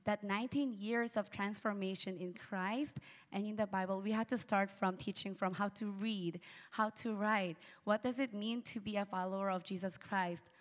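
A woman whose first language is Tagalog speaks in earnest, emphatic phrases as a missionary presenting her work. Her pitch sits in the middle range. Her voice carries a long reverb from a large hall.

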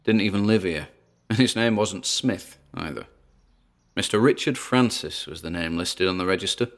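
A man's voice: deep voice, moderate pace, a bit bright. A man with a British accent playing a wary, chatty paranormal investigator narrating his exploration in a podcast-style audio drama, with theatrical asides. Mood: chatty, wry.

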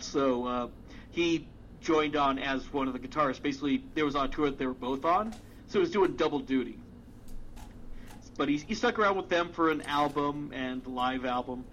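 Rambling man, slightly high, dull recording. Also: fairly bright tone, slight background noise. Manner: rambling, many fillers and restarts, swears casually. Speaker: music podcast co-host. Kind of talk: casual chat.

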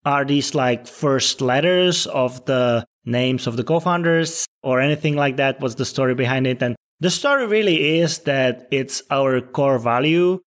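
Czech-born man in his thirties, measured. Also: medium pitch. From Czech Republic. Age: 30s. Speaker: startup CEO guest. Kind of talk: podcast interview answers.

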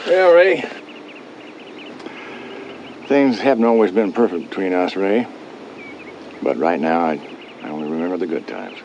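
An actor playing a gruff but affectionate older family patriarch in a television drama, gravelly and halting, with heartfelt delivery. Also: male voice, low-pitched, expressive, darkish tone. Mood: wistful reconciliation. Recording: dull TV clip with outdoor noise.

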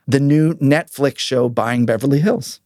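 A man's voice: medium pitch, measured pace, darkish tone, no room sound, clean studio sound. A man hosting an interview in a casual, conversational way, informal and affirming with his guest. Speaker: podcast host interviewing a guest.

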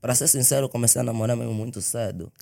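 A man's voice: medium pitch, rapid-fire, vocal fry, mellow. A nineteen-year-old man, a rapper guest who answers bashfully, laughs often and dodges personal questions. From Angola. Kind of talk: casual interview chat.

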